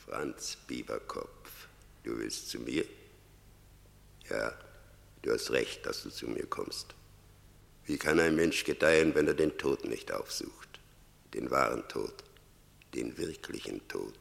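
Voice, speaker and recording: An actor playing Death as a stern, mocking judge in a radio play, declaiming with emphatic repetition. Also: male voice, rumbling low, expressive, slow pace, vocal fry, long pauses, a bit bright, heavily acted. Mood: brooding, ominous. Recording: vintage radio drama, long cavernous reverb.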